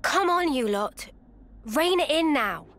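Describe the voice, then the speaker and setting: A soft-spoken young soldier. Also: female voice, high-pitched, animated, slow pace, exaggerated voice. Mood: subdued.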